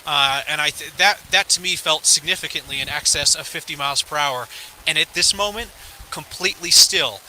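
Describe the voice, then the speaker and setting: A man working as a field reporter, giving a somber, halting, descriptive live report outdoors in the wind. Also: on the high side, poor audio. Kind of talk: live breaking news reporting. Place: outdoors at a trailhead in high wind. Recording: loud wind and outdoor noise behind.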